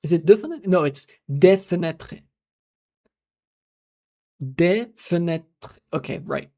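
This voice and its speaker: American streamer rambling to chat, swears a lot, lots of uh uh, mid pitch. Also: animated, takes it slowly, warm tone. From United States. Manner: rambling and profane, trailing off into filler sounds; reads out and answers chat messages. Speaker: live streamer. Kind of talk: casual live-stream monologue.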